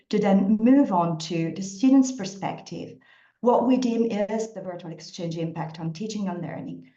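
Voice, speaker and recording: Woman presenter with a warm, mellow voice, fluent delivery, roomy and slightly muffled call audio. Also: mid-range voice. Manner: articulate, fluent with occasional fillers. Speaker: guest speaker, managing director. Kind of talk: online presentation. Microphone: video call. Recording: dull, echoey video call audio.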